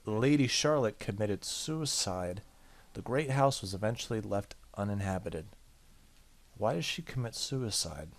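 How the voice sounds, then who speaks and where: A man hosting a game playthrough, casually commenting and reading text aloud, sometimes tripping over words, with game music behind him. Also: fairly low pitch. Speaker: let's play host.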